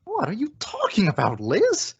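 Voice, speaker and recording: Man as flustered young husband, swooping pitch, radio comedy delivery, dull dry recording. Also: huge pitch swings, normal speed, gravelly, shouting, very noisy, heavily acted. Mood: surprised, defensive. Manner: hesitant, exclaiming comic delivery. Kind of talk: 1950s radio sitcom acting.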